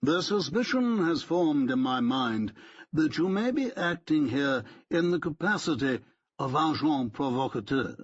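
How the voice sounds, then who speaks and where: A pompous, stiff barrister, as a male audiobook reader puts him on, speaking in a haughty, clipped, precise way. The voice is a little husky. The sound is dull and lo-fi. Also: mid-range voice, animated, a little slow, no echo.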